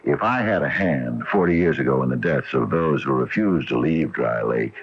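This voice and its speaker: An actor playing an earnest, stubborn, plain-spoken small-town store owner in a radio mystery drama. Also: male voice, rumbling low, animated, moderate pace, breathy edge, exaggerated voice. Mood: grave, defiant. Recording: dull lo-fi 1980 radio broadcast.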